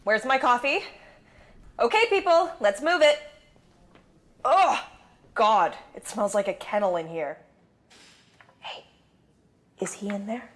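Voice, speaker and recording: A woman's voice: a little high, lively intonation, slow pace, pauses often. A woman playing a bossy, irritable film director in an audio drama. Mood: irritated.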